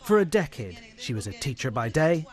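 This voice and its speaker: A man's voice: medium pitch, very animated, a little fast. A British man reading scripted narration for a news obituary report. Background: music.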